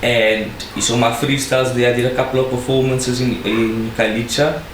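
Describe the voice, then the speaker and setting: A man's voice: mid-range voice, level tone, moderate pace. Young cape town guy, chill slangy talk, echoey room, some hiss behind. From South Africa. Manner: laid-back, slangy, laughs often. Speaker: guest rapper. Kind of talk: casual podcast interview.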